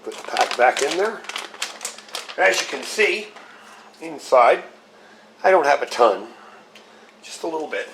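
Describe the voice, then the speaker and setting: A man's voice: fairly high pitch, measured pace, vocal fry, long pauses. Guy w a bit of a scratchy voice, walking thru food prep, faint dish clatter in back. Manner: relaxed, explains step by step while handling gear. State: slightly scratchy voice from a cold. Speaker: channel host. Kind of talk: casual cooking demo vlog.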